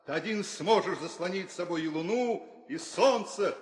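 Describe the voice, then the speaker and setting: Man acting a high-voiced haughty warrior prince. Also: moderate pace, natural voice. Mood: mocking. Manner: taunting, declamatory. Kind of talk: 1960s theatrical audio drama acting. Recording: echoey hall reverb.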